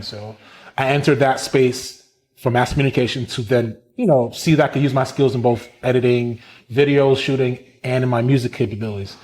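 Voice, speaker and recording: A man, telling his story in a casual interview, animated and quick to laugh. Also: medium pitch. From Brooklyn, New York. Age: 30s. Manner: animated, chatty, laughs often. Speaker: musician and community organizer, interviewee.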